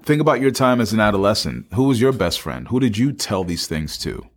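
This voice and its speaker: Male podcast co-host with a low voice, conversational and emphatic, addressing the audience and doubling phrases. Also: animated, brisk.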